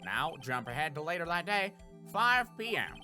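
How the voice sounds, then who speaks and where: A man voicing a gruff, folksy police detective from a video game, blustering through a case briefing. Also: fairly high pitch, very animated, moderate pace, sharp tone, soft background music, full character voice. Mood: matter-of-fact briefing. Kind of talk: video game character dub.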